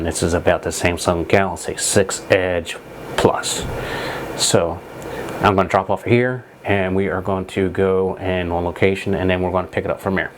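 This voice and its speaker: Low-voiced man with a stuffy nose. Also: background noise. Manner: rambling, filler-heavy, restarts. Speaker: photography vlogger. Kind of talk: casual gear demo. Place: home studio room with monitors.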